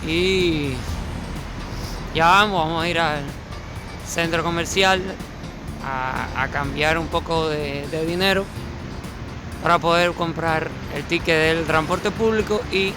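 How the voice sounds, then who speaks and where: A Cuban man, his voice sitting a little high, talks casually while walking city streets in winter. He thinks aloud and often repeats words mid-sentence. Music plays clearly behind him. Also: slow pace, long pauses. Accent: Cuban Spanish. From Cuba. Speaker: vlogger. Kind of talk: casual travel vlog narration.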